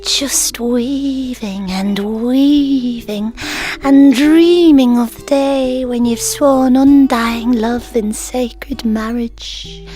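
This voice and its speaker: A woman's voice: fairly high pitch, extremely slow, few pauses, mellow, faint music behind. A woman playing a gushing, lovestruck, homely goddess in a comedy audio drama, theatrical and fluttery. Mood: dreamy, wistful.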